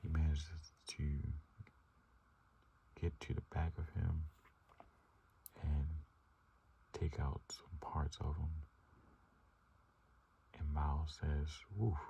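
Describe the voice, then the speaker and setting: A man narrates a game playthrough in a soft, breathy whisper. He speaks slowly, and his voice runs very low. The mic sits some way off, and loud ambient noise fills the background. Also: completely flat delivery, distant mic.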